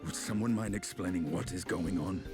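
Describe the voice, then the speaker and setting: Man acting a low-voiced, wry knight. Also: moderate pace, music in the background, close to an everyday voice. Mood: puzzled. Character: wry, blunt, battle-hardened dragoon knight. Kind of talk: fantasy game acting.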